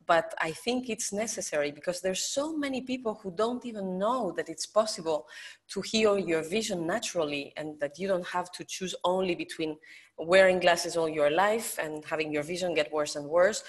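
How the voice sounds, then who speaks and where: A woman who speaks English with a Spanish accent, her first language being Spanish, explaining her work earnestly as a guest in an online interview over a video call, with many hesitant fillers. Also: on the low side. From Spain. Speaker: guest expert.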